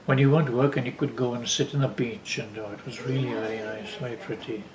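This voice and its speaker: An older man from Wales telling stories from his life casually, haltingly, repeating words as he recalls them. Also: medium pitch, steady tone, slight background noise. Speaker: father telling family stories. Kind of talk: casual storytelling, reminiscing. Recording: roomy, echoey home recording.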